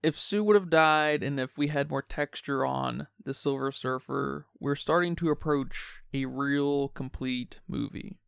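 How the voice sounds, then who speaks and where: A man's voice: mid-range voice, a little slow, vocal fry, few pauses, fairly bright tone, clean tone, no room sound, clean studio sound. A man hosting a podcast, casually discussing a film and addressing his listeners. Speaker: podcast host.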